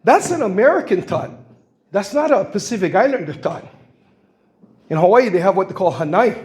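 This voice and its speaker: A man's voice: sits high, big pitch swings, moderate pace, darkish tone. A man in his sixties from Guam, speaking at a public forum and telling stories with the quoted voices acted out. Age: 60s. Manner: storytelling, acts out quoted voices. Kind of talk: impassioned public comment. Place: public meeting hall.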